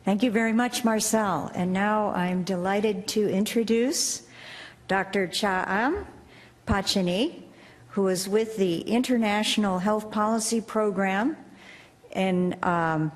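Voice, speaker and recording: A woman's voice: mid-range voice, measured pace. Woman moderator in a reverberant hall. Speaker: panel moderator. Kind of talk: introducing a conference speaker.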